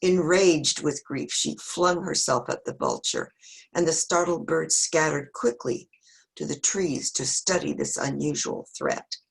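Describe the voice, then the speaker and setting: A woman narrates a dramatic Bible story from a script for a church. Her voice is husky with a gravelly creak. She reads with plenty of movement in her pitch. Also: expressive, unhurried. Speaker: church reader.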